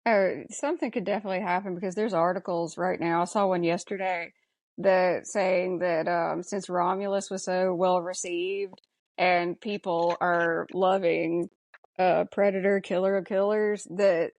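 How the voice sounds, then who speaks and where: A woman's voice: fairly low pitch, steady tone, measured pace, darkish tone, dry recording. A woman co-hosting a podcast, talking casually about film in a blunt way with some mild swearing. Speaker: podcast co-host.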